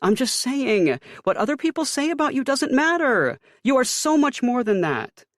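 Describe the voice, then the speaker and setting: A man doing a high-voiced nagging mom. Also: very animated, moderate pace, a bit bright, no echo, studio quality, full character voice. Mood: lecturing. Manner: high, lilting, motherly lecturing tone. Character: warm, nagging middle-aged mother.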